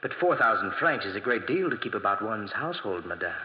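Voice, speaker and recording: A man's voice: lowish voice, big pitch swings, fast. A man playing an officious, solicitous banker in a 1940s radio drama, speaking formally and insistently. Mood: solicitous, cautioning. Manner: formal, cautioning. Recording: muffled vintage radio recording with long reverb.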